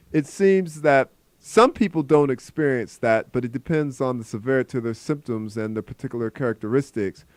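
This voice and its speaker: A man hosting a call-in radio health show as the doctor, giving advice in a relaxed, friendly, conversational way. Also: medium pitch, dry recording. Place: radio studio.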